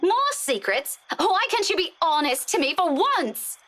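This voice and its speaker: A woman voicing an indignant, self-righteous young woman in a visual novel, with a sharp, sarcastic, confrontational manner. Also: very high-pitched, sing-song, measured pace, exaggerated voice. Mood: accusing.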